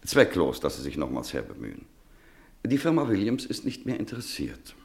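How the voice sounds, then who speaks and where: An actor playing a cold, curt, dismissive head clerk of a trading firm in a German radio play. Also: male voice, low voice, wildly swooping pitch, brisk, creaky, darkish tone, heavily acted. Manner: curt, officious.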